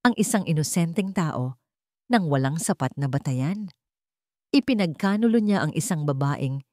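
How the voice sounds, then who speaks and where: A woman's voice: fairly low pitch, darkish tone, no room sound, clean studio sound. A woman reading a dramatic scripted story as its narrator.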